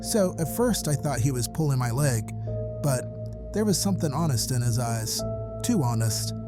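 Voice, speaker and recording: A man's voice: mid-range voice, very animated, normal speed, over music, lightly acted. An actor playing a folksy, wry hospital janitor who calls in and tells his story matter-of-factly in a horror audio drama. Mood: brooding, storytelling. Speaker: caller.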